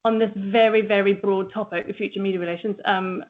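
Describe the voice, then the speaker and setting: A woman's voice: mid-range voice, steady tone, brisk, a little hiss or hum. A middle-aged woman from New Zealand with a New Zealand accent, giving a chatty presentation. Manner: chatty, with fillers. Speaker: agency managing director. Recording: tinny phone-line audio.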